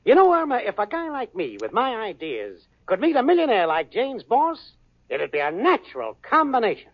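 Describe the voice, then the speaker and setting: An actor playing a cocky, smooth-talking young schemer in a 1940s radio sitcom, breezy and full of slang. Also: male voice, very high-pitched, huge pitch swings, normal speed, full character voice. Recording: lo-fi old radio broadcast with audience laughter and hiss.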